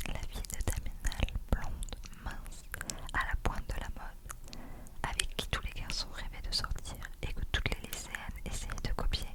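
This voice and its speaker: A woman reading a novel aloud in a soft, whispered ASMR style. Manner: soft unvoiced whispering throughout. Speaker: ASMR reader.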